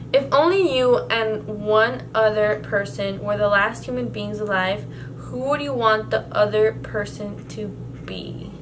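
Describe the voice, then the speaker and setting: A woman's voice: medium pitch, background noise. A young woman whose first language is Spanish, answering questions in a casual vlog and rambling with many fillers and restarts. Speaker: vlogger. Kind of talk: casual Q&A tag video.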